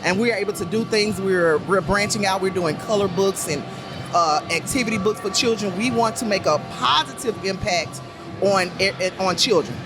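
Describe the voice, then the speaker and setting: A woman with a deep voice talking as a podcast guest, rambling with many restarts and fillers. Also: moderate pace, music in the background. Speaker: guest, puppet show creator. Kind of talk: casual podcast conversation.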